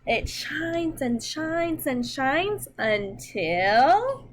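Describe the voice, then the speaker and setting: A woman reading a picture book aloud to young children, expressive and simple in her delivery, with dramatic pauses and emphasis. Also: high voice, slight background noise. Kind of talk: children's read-aloud storytelling.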